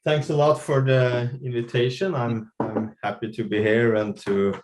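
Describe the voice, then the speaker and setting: A man with a Norwegian accent, an NGO managing director, speaking on a webinar panel in a halting way with many fillers and restarts. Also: mid-range voice, level tone, a little mellow. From Norway.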